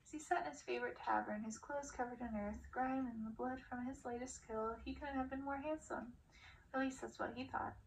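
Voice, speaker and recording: A woman's voice: high-pitched, fairly even intonation, fairly quick. A woman reading a fairy-tale novel aloud in audiobook style. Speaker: reader of a story. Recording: distant mic with clear background noise.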